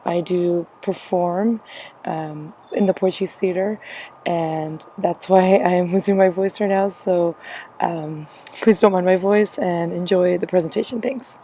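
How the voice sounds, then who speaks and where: A young woman gives a class presentation in a hoarse voice that she is losing. Her tone is mellow and soft, and she rambles a little, with frequent ums between points. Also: fairly low pitch, fairly even intonation, a little slow, a little hiss or hum. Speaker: student presenter.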